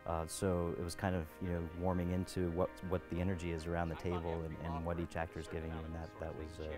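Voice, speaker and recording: A man's voice: low voice, monotone, fast talker. A man, an actor, reflecting casually in an interview. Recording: music behind the voice.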